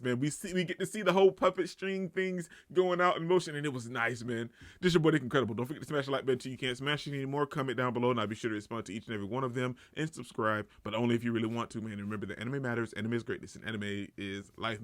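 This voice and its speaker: A man giving a casual, excitable reaction and review as a channel host, often repeating himself for emphasis. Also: medium pitch, dry recording, studio quality.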